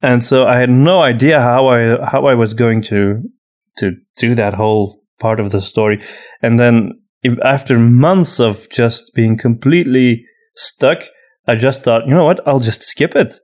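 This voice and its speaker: A man's voice: medium pitch, measured pace, a little mellow. A man from Flanders with a Flemish accent, a native Dutch speaker, talking casually as a podcast co-host and repeating words as he thinks. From Flanders, Belgium. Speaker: podcast co-host and audio drama writer. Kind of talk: casual conversational Q&A.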